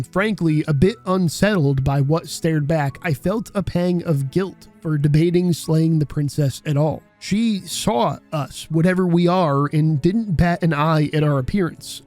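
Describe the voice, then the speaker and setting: A man's voice: a little high, darkish tone. A man reading scripted video essay narration in a wry, casual way.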